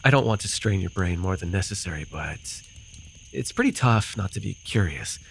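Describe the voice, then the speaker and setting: A man's voice: sits low, lively intonation, moderate pace, vocal fry. A man acting a rambling, amused forest fae in a fantasy ASMR roleplay, telling his tale with hesitant, apologetic asides. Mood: curious. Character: rambling, amused male forest-dwelling fae storyteller with bird companion. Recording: noisy with cricket and insect ambience. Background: night forest ambience with crickets and insects.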